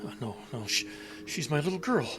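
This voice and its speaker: A man's voice: fairly high pitch, sing-song, music in the background. A stammering, confused old man. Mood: flustered denial. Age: older. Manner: halting, stammering, repeating words. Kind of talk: audio drama acting.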